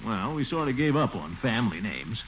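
A man's voice: medium pitch, very animated, fairly quick, close to an everyday voice. A noisy, muffled vintage radio recording carries an actor as a pompous middle-aged American bachelor. His voice swoops extravagantly up and down as he blusters and chuckles. He is a self-important, easily embarrassed figure from 1940s radio comedy. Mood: bashful, flustered.

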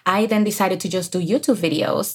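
An energetic woman in her thirties from the Dominican Republic, speaking with a Dominican Spanish accent as a guest on an interview podcast, who laughs often. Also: mid-range voice, a little fast, breathy edge. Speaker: guest, career coach. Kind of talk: casual interview chat.

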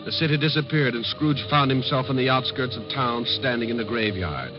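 An American actor as a wry, colloquial storyteller narrating in a mid-century radio drama. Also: male voice, medium pitch, fairly quick, natural voice. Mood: wry storytelling. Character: wry male storyteller narrating a Christmas ghost tale. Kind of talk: 1950s radio scripted narration. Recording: muffled old radio recording, music behind.

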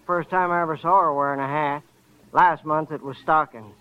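An actor playing an indifferent, wisecracking, leering clerk of a cheap hotel in an old radio drama. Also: male voice, fairly high pitch, fairly even intonation, normal speed, clean tone, natural voice. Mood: dreamy, leering. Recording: dull, noisy old radio recording.

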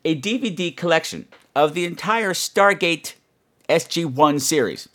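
A man's voice: a little high, expressive, unhurried, a bit bright. A man hosting a horror podcast, chatting to his listeners in a campy, playful, self-mocking and emphatic way. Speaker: podcast host.

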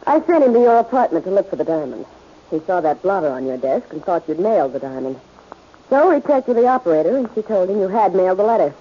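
Defiant female crime boss, theatrical, swooping pitch, husky edge, vintage radio thriller. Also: big pitch swings, fast talker. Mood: cold, defensive. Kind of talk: 1940s radio drama acting. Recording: muffled vintage radio recording.

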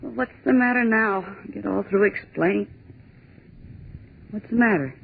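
A brash, scoffing typist. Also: female voice, medium pitch, vocal fry, breathy edge. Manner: scoffing, bossy, quick retorts. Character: brash, sarcastic young woman office typist. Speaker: office typist. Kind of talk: 1940s radio drama acting. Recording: tinny 1940s radio broadcast.

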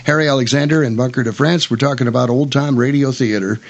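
A man hosting a casual radio talk show, partly reading from notes in a halting way with repeated words. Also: medium pitch, fairly even intonation.

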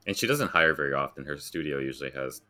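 Very deep-voiced American man, bright tone. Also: lively intonation, quick pace. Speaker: interview guest, graphic designer. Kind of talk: casual conversational storytelling.

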